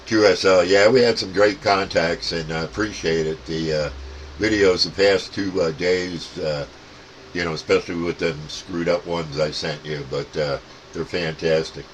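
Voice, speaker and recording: A man chatting casually as a CB radio hobbyist, rambling and halting, with plenty of radio jargon. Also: low-pitched, fairly even intonation, a little hiss or hum, rough recording.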